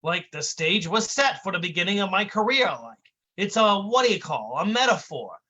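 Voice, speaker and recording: A man's voice: high-pitched, very animated, moderate pace, bright, forceful tone, husky, voice pushed up, exaggerated voice. A man doing a brash New ish comic. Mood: irritated. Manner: brash, snappy, growling. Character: gruff short-tempered male vaudeville comic.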